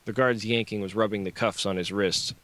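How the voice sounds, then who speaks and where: A man's voice: fairly low pitch, steady tone, brisk, a bit bright. A man narrating a novel as an audiobook, reading scripted fiction on a close microphone.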